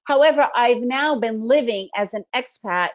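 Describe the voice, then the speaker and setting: A middle-aged woman whose first language is Russian leads a trading webinar in a fairly high, conversational voice, often checking in with "right?". She comes through a video call with slightly dull, lo-fi sound. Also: normal speed. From Soviet Union, raised in the United States. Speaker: day trading educator. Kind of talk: webinar presentation.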